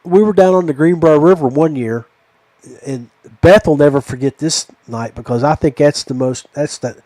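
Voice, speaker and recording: A man's voice: on the high side, normal speed, mellow, no room sound, low-quality recording. A man chatting casually as a podcast host, telling stories in a rambling, folksy way. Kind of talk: casual chat, storytelling.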